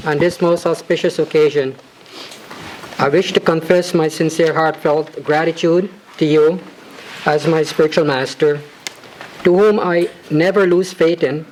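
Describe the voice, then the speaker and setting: Reverent man with a high voice. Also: fairly even intonation, a little mellow, slight background noise, low-quality recording. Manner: formal, measured and reverent, with ornate phrasing. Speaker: disciple reading a homage. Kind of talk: formal reading of a devotional tribute letter.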